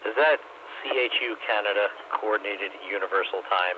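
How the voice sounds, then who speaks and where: A man with a fairly low voice makes wry, casual banter over CB radio. The sound is phone-like and full of static. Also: brisk. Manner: wry, joking. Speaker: CB radio club participant. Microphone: CB radio microphone. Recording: tinny CB radio with clear static.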